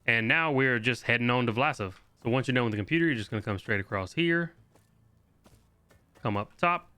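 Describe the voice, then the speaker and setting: A man's voice: mid-range voice, fairly quick, sharp tone, smooth, no room sound. A man giving casual walkthrough commentary on a video game and reading bits of game text aloud. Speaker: gaming video host.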